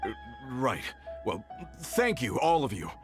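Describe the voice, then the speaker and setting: A man's voice: mid-range voice, huge pitch swings, measured pace. A man voicing an earnest, businesslike mine foreman in a video game. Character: earnest middle-aged male mine foreman. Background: game music.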